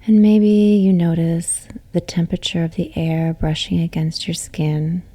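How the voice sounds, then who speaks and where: A woman yoga nidra teacher guiding a relaxation meditation in a soothing, gentle, unhurried way, with soft, breathy phrase endings. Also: fairly low pitch, little pitch movement.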